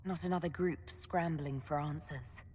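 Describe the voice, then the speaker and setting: An actress playing a resolute, diplomatic leader in a fantasy game cutscene, composed, earnest and decisive. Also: female voice, deep voice, fairly even intonation, moderate pace, a little mellow, a little hiss or hum, close to an everyday voice. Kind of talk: video game cutscene acting. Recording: game music behind the voice.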